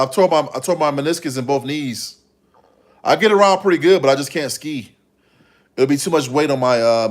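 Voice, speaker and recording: A man in his fifties talks casually to his livestream viewers. His voice sits at an average male pitch. He rambles along, circling back and repeating his phrases as he replies to the chat. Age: 50s. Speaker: livestream host.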